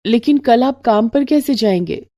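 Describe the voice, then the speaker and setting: A woman voicing a gentle, devout, humble young mother in a cartoon moral story, speaking softly and patiently. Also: on the high side, lively intonation, moderate pace, no room sound, clean studio sound, natural voice. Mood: gentle, earnest.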